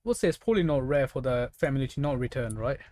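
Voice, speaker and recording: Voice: medium pitch, expressive, fast talker, mellow. A game streamer giving casual gameplay commentary in a rambling, thinking-aloud way. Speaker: game livestreamer.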